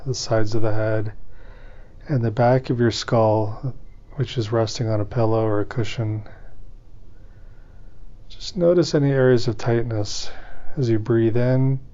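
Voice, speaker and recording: A man leading a guided meditation as its teacher, giving gentle instructions in short, unhurried phrases with pauses. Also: mid-range voice, dull audio.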